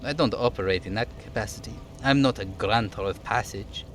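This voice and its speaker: A man's voice: on the low side, a little fast, fairly bright tone, slight background noise, lightly acted. A man voicing a chatty, easygoing striking union worker in a video game, with a folksy, teasing manner. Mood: amused, cryptic.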